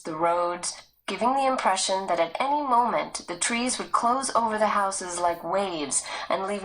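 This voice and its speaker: A woman's voice: lowish voice, a bit bright, a little hiss or hum, poor audio. A woman reading a story aloud as a scripted audiobook narrator.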